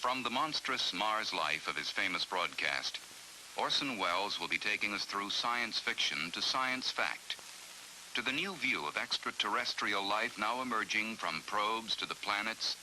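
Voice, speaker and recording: A formal male announcer reads documentary narration in a lowish voice with a very sharp, forceful edge. There is a faint hiss on the track. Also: expressive, a little slow, rough recording.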